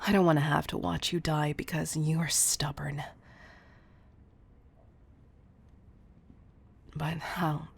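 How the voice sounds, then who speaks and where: Casting: actress as a doting, protective female boss for intimate audio drama; low, gravelly, tough but tender. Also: full character voice. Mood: tender, solemn protectiveness. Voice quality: husky, breathy, often near whisper. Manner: intimate, deliberate delivery with pet names. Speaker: audio roleplay voice performer. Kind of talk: intimate scripted audio roleplay acting.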